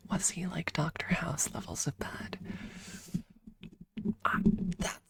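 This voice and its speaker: A woman ASMR streamer speaking in a breathy up-close whisper, softly and deliberately telling casual stories to viewers right at the microphone. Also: low voice, steady tone. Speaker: ASMR livestreamer.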